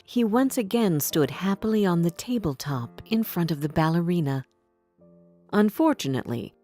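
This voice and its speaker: A woman's voice: on the low side, darkish tone. A woman narrating a scripted fairy tale for children as a storyteller. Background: background music.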